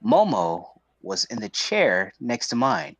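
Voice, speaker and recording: Animated man, dry close-up sound. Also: medium pitch, takes it slowly, vocal fry, no room sound. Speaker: streamer reading a visual novel aloud. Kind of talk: casual stream chat and narration.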